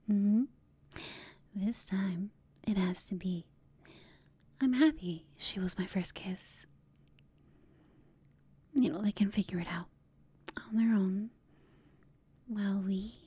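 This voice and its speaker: Casting: actress as teasing female villain, ASMR roleplay. Very breathy whisper, soft mellow tone, coaxing and confident, close and dry. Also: medium pitch, no room sound. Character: flirtatious, teasing female villain, confident yet secretly inexperienced. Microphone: close mic, dry.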